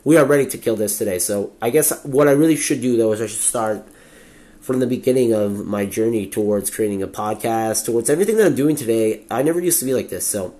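A man's voice: mid-range voice, quick pace, mellow. Rambling young man with a sniffly cold. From Montreal, Canada. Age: 20s. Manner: rambling, candid, swears freely, many fillers. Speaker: podcast host. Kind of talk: casual solo podcast monologue.

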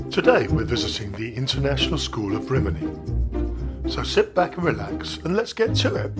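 A man hosting a podcast, upbeat and welcoming as he introduces the episode and asks the questions. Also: medium pitch. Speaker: podcast host. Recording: intro music loud behind voice.

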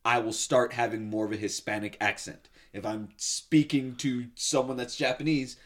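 A man and voice actor telling stories in casual podcast banter with friends, animated and laughing often. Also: mid-range voice, normal speed.

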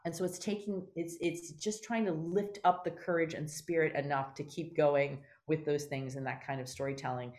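Woman, slightly low-voiced interview guest; conversational, emphatic, prone to tangents. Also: steady tone, moderate pace, hardly any pauses, a little mellow. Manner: effusive, digressive, emphatic. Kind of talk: animated conversational interview talk.

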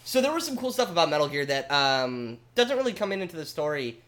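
A man co-hosting a casual podcast, explaining at length in an animated, rambling way with casual swearing. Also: fairly high pitch, fast. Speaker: podcast co-host. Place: home basement studio.

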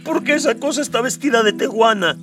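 A man's voice: extremely high pitch, quick pace. A man playing a bewildered, indignant police officer with a Mexican accent, flustered and colloquial, in a comedic radio drama. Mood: confused. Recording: distant miking, music underneath.

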